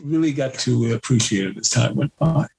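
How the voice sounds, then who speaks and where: A man as a podcast guest, reminiscing casually with halting phrases and pauses mid-thought. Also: medium pitch, creaky, a little mellow, slight background noise, poor audio.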